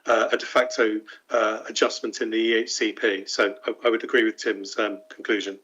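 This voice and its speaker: A man who is a council officer, confirming a point with measured, formal agreement in an online meeting. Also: lowish voice, level tone, fairly bright tone. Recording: dull online call audio.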